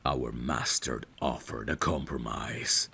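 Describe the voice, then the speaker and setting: A man playing a courtly, caring vampire butler in an ASMR audio drama, speaking in a formal, deferential and gently solicitous way. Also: rumbling low, slow, creaky, slight background noise, exaggerated voice. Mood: grave, regretful. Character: courtly, caring male vampire butler, soft-spoken and protective.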